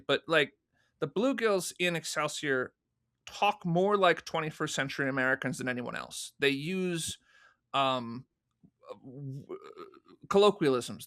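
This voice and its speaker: A man with a fairly high, forceful, bright voice talks as an interview guest. He explains creative decisions in articulate, halting phrases and leans on the important words. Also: smooth. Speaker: interview guest, audio drama producer and writer.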